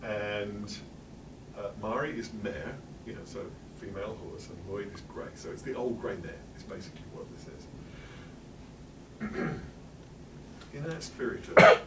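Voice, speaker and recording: A man's voice: lowish voice, animated, unhurried, very noisy. A middle-aged English man giving a chatty, wry talk in a library, full of fillers and asides. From England. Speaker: presenter. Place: public library meeting room. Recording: roomy hall, speaker far from mic.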